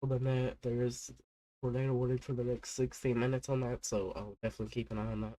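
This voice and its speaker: A man hosting an unscripted live weather stream, talking haltingly with many fillers, his voice stuffy from a cold. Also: medium pitch, level tone, warm tone, low-quality recording. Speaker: livestream weather host.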